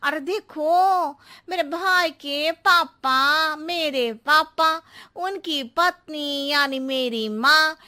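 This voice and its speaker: Chatty cartoon maid, very high squeaky pitch, piercingly bright, roundabout and playful. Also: female voice, extremely slow, heavily acted. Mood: rambling, earnest. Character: silly, chatty housemaid woman who talks in circles. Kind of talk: exaggerated Hindi cartoon voice acting.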